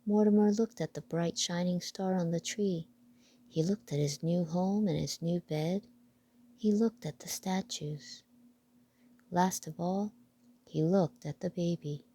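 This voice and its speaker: A woman reading a children's picture book aloud with deliberate storytelling phrasing. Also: fairly low pitch, dark tone.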